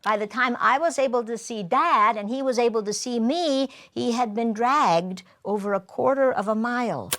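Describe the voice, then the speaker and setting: A woman's voice: fairly high pitch, normal speed, few pauses, fairly bright tone, natural voice. Woman as an earnest farm-raised storyteller looking back. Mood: tense recollection. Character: grown woman recounting her horse-loving farm girlhood, earnest. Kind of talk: live storytelling in character.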